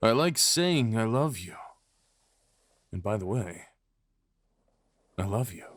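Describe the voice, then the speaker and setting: A man playing a devoted, possessive fox spirit husband in an intimate ASMR roleplay, rambling softly and tenderly with murmured endearments. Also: on the low side, sing-song, slow, no room sound, heavily acted. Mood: soothing, loving.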